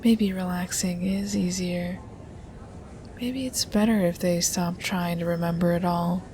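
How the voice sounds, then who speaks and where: A woman speaking as a hypnotist in a hypnosis ASMR style, in a hushed half-whisper with coaxing, questioning turns. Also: on the low side, steady tone, a little slow, slight background noise. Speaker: hypnotist in a collaborative audio roleplay. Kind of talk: hypnosis ASMR, slow guiding patter.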